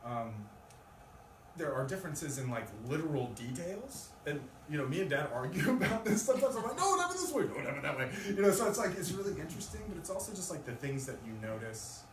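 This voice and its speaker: A man's voice: on the high side, very animated, fast. A man speaking live at a poetry reading, as a poet presenting his own work. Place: live event in a room with an audience. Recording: distant mic, echoey room, loud noise.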